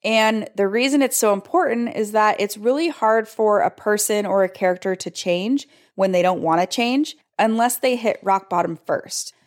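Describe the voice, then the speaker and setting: A woman's voice: mid-range voice, moderate pace, hardly any pauses. A woman teaches fiction writing, speaking earnestly as she walks listeners through a story beat. Her tone is explanatory and direct. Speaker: writing coach. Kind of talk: teaching clip.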